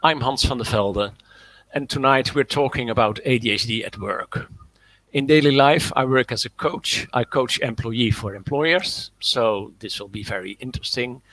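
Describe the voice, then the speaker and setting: A man's voice: medium pitch, normal speed, a bit bright, dry recording, low-quality recording. A man hosting a webinar as a coach, speaking hesitantly with many fillers over a video call. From the Netherlands.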